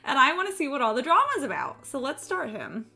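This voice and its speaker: A woman's voice: sits high, big pitch swings, a little fast, gravelly, sharp tone. A woman chatting casually on a gaming livestream in a rambling, sweary, self-deprecating way. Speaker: live game streamer. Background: game music.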